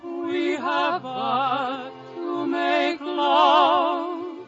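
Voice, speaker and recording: A woman's voice: very high-pitched, extremely slow, full character voice. A woman singing in an operatic style for a 1950s radio musical. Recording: muffled vintage radio with orchestral music.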